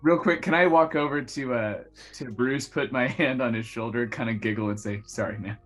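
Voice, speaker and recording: Stammering male tabletop player, middle pitch. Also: moderate pace. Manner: stammering, repeated words. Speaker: roleplaying game player. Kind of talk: casual improvised in-character chat. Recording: music running behind the voice.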